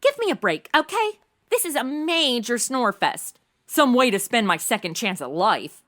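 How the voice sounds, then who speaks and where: A woman's voice: a little high, big pitch swings, normal speed, fairly bright tone. A woman playing a brash, ditzy, sarcastic modern young woman in a comedic audio drama, with slangy, theatrical delivery. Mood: whiny, musing.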